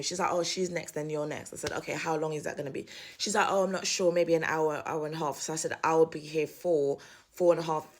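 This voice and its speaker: A Jamaican-born woman who grew up in the UK, with a British accent, telling a story vlog-style in a blunt, exasperated way and swearing freely. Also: fairly low pitch. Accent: British English with Jamaican roots. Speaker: vlogger. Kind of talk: casual storytime rant.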